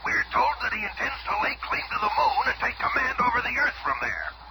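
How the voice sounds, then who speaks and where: An actor playing an urgent military general giving a briefing in a 1970s radio drama. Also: male voice, extremely high pitch, huge pitch swings, fairly quick, bright, forceful tone, heavily acted. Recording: very noisy old radio recording.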